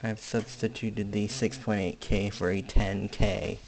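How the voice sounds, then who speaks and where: A man giving a casual hands-on electronics demonstration, speaking haltingly in short piecemeal phrases. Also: on the low side, flat delivery, a little mellow. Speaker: hobbyist presenter. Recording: faint background noise with clicks.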